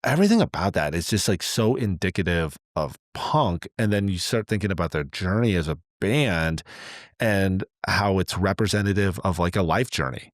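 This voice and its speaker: A man's voice: low-pitched, lively intonation, normal speed, no echo, studio quality. A man in his forties co-hosting a podcast, talking casually and reflectively in a rambling way full of "like" and "you know". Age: 40s. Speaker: podcast co-host.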